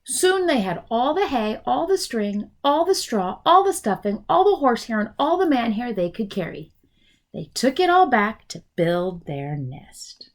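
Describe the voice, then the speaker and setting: A woman reads to young children as a teacher would, expressive and explanatory. Her delivery is slow, her pitch moves in big swings, and her voice often drops into a creaky fry. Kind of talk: children's storybook read-aloud.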